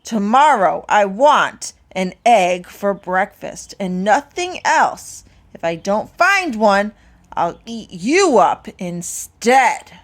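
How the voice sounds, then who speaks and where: A woman's voice: medium pitch, big pitch swings, slow. A woman voicing a hungry, bossy male troll in a storybook, calling out his demands. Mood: angry, shouting. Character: hungry, bossy male tumpton troll, grumpy egg thief.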